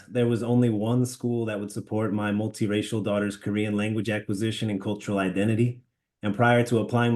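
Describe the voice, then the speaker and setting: Fast-talking man with a mellow voice. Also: lowish voice. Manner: earnest, read from a prepared statement. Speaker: parent and school staff member. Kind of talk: prepared public comment.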